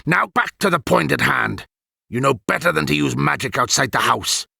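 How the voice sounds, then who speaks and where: Grumpy elderly wizard mentor, pompous and exasperated, pitch swinging wildly, as a male narrator puts him on. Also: lively intonation, normal speed, vocal fry, sharp tone, husky, no echo, studio quality, heavily acted. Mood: stern. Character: cranky fussy old male wizard mentor.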